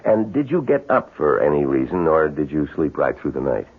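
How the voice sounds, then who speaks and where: An actor playing a courteous, measured police sergeant in a radio drama, speaking in a polite, businesslike way. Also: male voice, a bass voice, big pitch swings, breathy edge, full character voice. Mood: polite, inquiring. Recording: dull 1970s radio recording.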